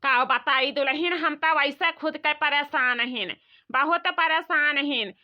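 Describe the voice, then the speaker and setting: Cartoon grandmother, elderly, weary and lamenting, Awadhi accent, lower register female performer, dull lo-fi audio. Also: takes it slowly, no echo. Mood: weary worry. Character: worried old village grandmother, complaining and weary. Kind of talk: comic village cartoon dialogue.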